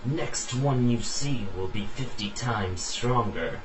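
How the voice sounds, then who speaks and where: A man's voice: mid-range voice, slow, slight background noise, pitched above the performer's own voice, close to an everyday voice. Guy putting on a spooky voice of darkness for a storybook, bossy and ominous, echoey room. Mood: ominous, commanding. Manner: commanding, portentous. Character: ominous disembodied dark voice of destiny.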